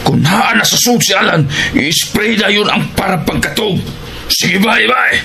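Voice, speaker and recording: A man's voice: high voice, lively intonation, unhurried, gravelly, exaggerated voice. A man playing a scheming, falsely jovial, bossy political boss in a radio action drama, emphatic and repeating his phrases. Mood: plotting, urgent. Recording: radio drama with loud music bed.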